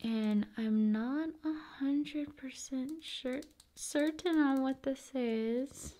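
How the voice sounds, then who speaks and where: A soft-spoken woman hosting an ASMR video, musing casually in short, paused phrases and often slipping into whispers. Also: high-pitched. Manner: unhurried, pausing between short phrases.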